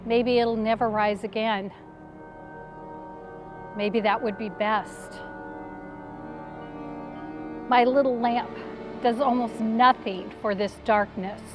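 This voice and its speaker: An actress playing a grieving, frightened woman disciple in a halting, anguished dramatic monologue, full of despair and bitterness. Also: high voice. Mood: desolate. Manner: halting, self-questioning, anguished.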